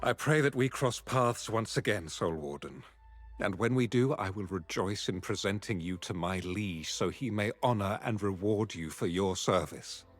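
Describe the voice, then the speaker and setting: A man's voice: lowish voice, lively intonation, moderate pace, faint music behind. A man playing a noble, loyal knight in a fantasy game, speaking formally with earnest, chivalrous courtesy. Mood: earnest, wistful. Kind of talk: fantasy game cutscene acting.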